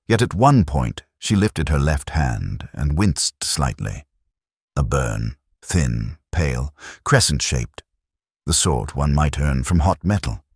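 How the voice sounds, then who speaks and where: A man playing a melancholy police inspector who narrates his case in a measured, literary, reflective way, as in a scripted mystery drama. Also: a bass voice, husky, no echo, studio quality, heavily acted.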